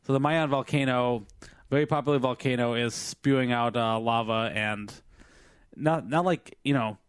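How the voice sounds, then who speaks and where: A man co-hosting a casual podcast, his voice slightly hoarse from a night of singing. He rambles through news stories with plenty of ums, likes and restarts. The sound is dry and close, with no room around him. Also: medium pitch, normal speed, no room sound. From Filipino family background. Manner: rambling, many fillers and restarts. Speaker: podcast co-host. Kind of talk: casual chat reading news.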